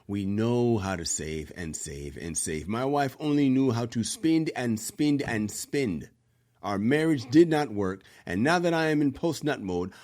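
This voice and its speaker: A man's voice: medium pitch, natural voice. A man doing a Nigerian accent to read out a male listener's story. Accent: Nigerian, put on.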